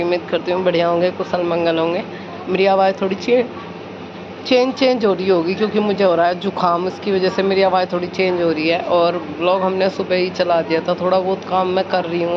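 A woman, chatting casually as a home vlogger. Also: lowish voice. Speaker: village vlogger. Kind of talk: casual home vlog chat and narration. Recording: muffled phone audio with clear background noise.

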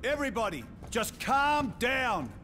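An actor playing a steady, military-minded survivor leader in a video game, earnest and used to giving orders. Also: male voice, extremely high pitch, unhurried, soft background music, exaggerated voice. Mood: urgent, rallying. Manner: earnest, directing. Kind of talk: video game cutscene acting.

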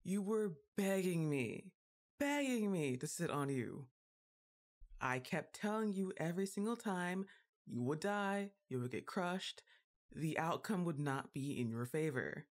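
A woman's voice: fairly low pitch, animated, unhurried. Woman acting a wry goth giantess witch girlfriend. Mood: flustered, scolding. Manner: casual, wry, hesitant asides. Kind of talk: ASMR roleplay audio.

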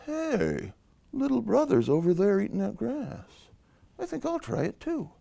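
A man's voice: high-pitched, wildly swooping pitch, a little slow, creaky, mellow, voice pushed up, full character voice. A man voicing a medium-sized billy goat, a storybook brother who pleads and coaxes with emphatic words. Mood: curious.

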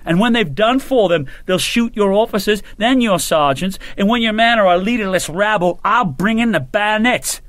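A man voicing a brash, hot-tempered male British army officer who speaks gruffly and swears. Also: fairly high pitch, animated, normal speed, few pauses, dry recording, pitched above the performer's own voice, close to an everyday voice. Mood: furious.

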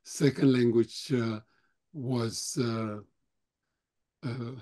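Slow, halting older man, mellow voice. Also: medium pitch, fairly even intonation. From Europe; grew up speaking German, Russian, Romanian. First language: German. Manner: slow, halting, many "uh" pauses. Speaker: Holocaust survivor guest speaker. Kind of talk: answering questions at a talk.

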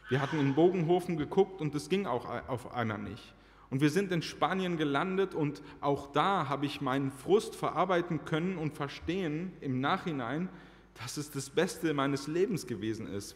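Earnest preacher man in echoing church. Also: a little high. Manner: earnest, slows down to stress key phrases. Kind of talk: personal testimony sermon. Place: church sanctuary, large indoor hall. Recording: reverberant church hall.

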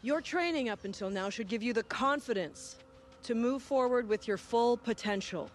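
An actress playing a demanding, earnest football coach giving a motivational speech in a game cutscene. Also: female voice, on the high side, normal speed, slight background noise, close to an everyday voice. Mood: firm, motivating.

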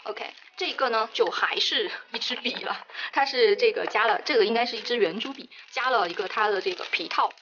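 A woman's voice: fairly high pitch, a little fast, hardly any pauses, lo-fi sound, background noise. A young woman chatting enthusiastically about her stationery haul, mixing into her speech. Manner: chatty, enthusiastic, many fillers. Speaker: stationery vlogger. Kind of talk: casual unboxing narration.

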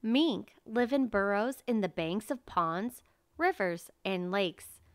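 A woman reading a children's nature picture book aloud as narrator, in a measured, carefully enunciated way. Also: mid-range voice, animated, smooth, dry recording, studio quality.

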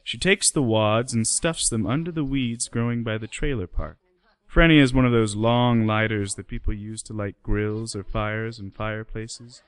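Low-voiced man narrating a story. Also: moderate pace, clear, resonant tone, no room sound. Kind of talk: scripted narration of a radio play.

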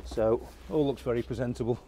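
A middle-aged man giving a relaxed, unscripted walkaround of a classic car for an auction, talking with lots of fillers and restarts in a large indoor warehouse. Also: medium pitch, brisk, a little hiss or hum.

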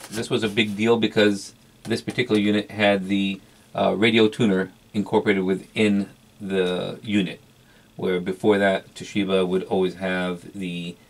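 Low-pitched, gravelly man reviewing gear. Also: flat delivery, moderate pace. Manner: hesitant, rambling, repeats words for emphasis. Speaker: vintage electronics collector and reviewer. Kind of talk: casual unscripted product review.

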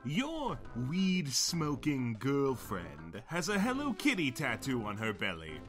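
A man's voice: medium pitch, huge pitch swings, takes it slowly, fairly bright tone, long reverb tail, faint music behind, heavily acted. A man voicing a taunting, unsympathetic cartoon character in a comic dub.

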